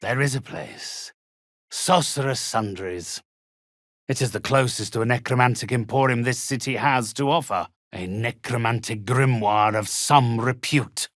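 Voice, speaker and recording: An actor playing a sinister, haughty undead necromancer in a video game, with a raspy voice and formal, drawn-out, menacingly polite delivery. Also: male voice, medium pitch, a bit bright, no echo, clean studio sound, close to an everyday voice. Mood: aloof, courteous. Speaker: spirit medium. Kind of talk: theatrical video game villain acting.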